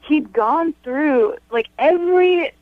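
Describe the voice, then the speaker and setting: A woman's voice: high-pitched, expressive, takes it slowly, no echo. A woman in her thirties calling a radio show, telling her story casually and emphatically with lots of "like" and some disbelief. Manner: incredulous, emphatic, heavy use of "like". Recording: dull telephone line on radio.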